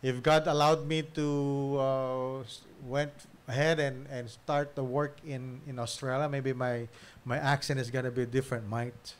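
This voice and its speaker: A middle-aged Filipino-accented pastor addresses his church informally in a mid-pitched voice. He speaks haltingly into a pulpit microphone, dropping in amens, with audible background noise. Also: flat delivery. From Philippines. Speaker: pastor introducing guest speaker. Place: small church hall, at pulpit.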